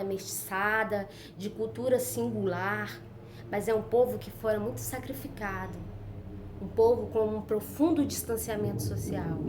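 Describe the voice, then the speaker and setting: Brief: woman, mid-pitched, earnest book commentary, echoey room with faint hiss. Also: mellow. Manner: earnest, explanatory, frequent "né" and "então". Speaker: reader presenting a book chapter. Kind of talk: informal spoken book commentary.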